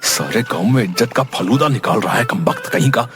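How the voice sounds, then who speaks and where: A man's voice: medium pitch, animated, fairly quick, over music, lightly acted. A man voicing a stingy, exasperated village merchant in a cartoon story, scolding and blustering. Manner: scolding, blustering, questioning grunts. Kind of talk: Hindi cartoon story dialogue.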